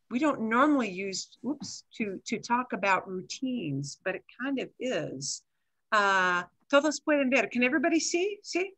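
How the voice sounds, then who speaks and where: A woman's voice: on the high side, sharp tone. A woman in her sixties teaching a Spanish class over a video call on a headset mic, switching between Spanish and English and explaining patiently with small asides.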